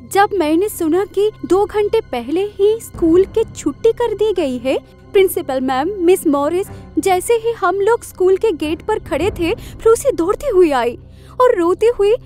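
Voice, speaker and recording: Concerned cartoon mum, played by a woman, very high voice, soft music bed. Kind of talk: cartoon voice acting. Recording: faint music behind the voice.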